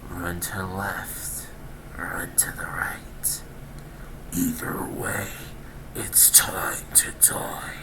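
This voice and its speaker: A performer voicing a sinister ghostly evil hedgehog clone in a menacing, breathy whisper for an amateur horror audio drama. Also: low-pitched, level tone, low-quality recording. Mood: threatening. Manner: slow, drawn-out threats.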